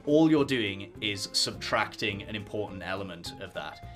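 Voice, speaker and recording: A man co-hosting a podcast, laying out his argument in a measured way with asides. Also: lowish voice, animated, a bit bright, faint music behind. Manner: measured, argues a thesis with asides. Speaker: podcast co-host. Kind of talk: casual podcast chat.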